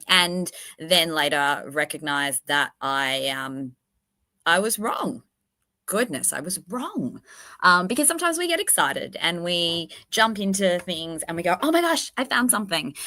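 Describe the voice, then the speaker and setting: A woman hosting a true crime livestream in a casual, chatty way. Her voice is croaky from a virus, and she sounds enthusiastic and self-deprecating. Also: lowish voice, sharp tone, no echo. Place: at her workplace, indoors.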